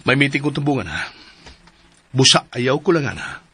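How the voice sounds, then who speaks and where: A man playing a hurried, irritable businessman in a Filipino radio drama, curt and sighing, with a Visayan accent. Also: mid-range voice, pushed and bright, a little hiss or hum, full character voice.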